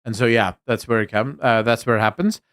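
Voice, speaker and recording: A young adult man from South Africa whose Afrikaans accent has been smoothed by American and British influences. He hosts a casual live stream, reading chat messages aloud. His opinions are emphatic, with frequent fillers and restarts. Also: medium pitch, fairly quick, fairly bright tone. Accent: Afrikaans South African, mixed with American and British. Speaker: livestream host reading chat.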